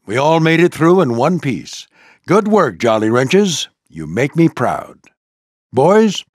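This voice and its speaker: An older American man voicing a proud, gruff old military plane who mentors a squadron in a video game, with a gravelly voice and a commanding, folksy manner. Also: a little high, no echo, clean studio sound. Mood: commanding, encouraging. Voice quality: gravelly, husky. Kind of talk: scripted video game character lines.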